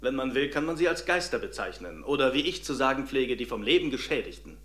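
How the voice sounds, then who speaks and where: An earnest, compassionate male therapist played by an actor explains himself in an audio drama interview. The voice is mid-pitched in an echoey room. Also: expressive, fast talker, a bit bright, lightly acted. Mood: earnest, explaining.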